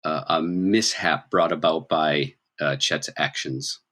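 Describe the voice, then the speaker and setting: A man's voice: rumbling low, steady tone, takes it slowly, fairly bright tone. A man improvising narration as game master of a tabletop game, explaining scenes in a conversational way. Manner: conversational, explanatory, checks in with "right?".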